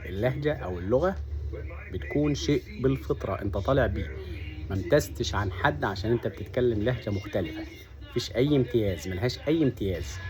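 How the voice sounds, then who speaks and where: An Upper Egyptian man with a broad Sa'idi accent talks straight to his viewers at a brisk clip. His pitch sits in an ordinary middle range. He speaks with steady conviction, counting off his points one after another. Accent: Upper Egyptian (Sa'idi) Arabic. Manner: earnest, emphatic, lists points one by one. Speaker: vlogger. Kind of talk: casual monologue to camera.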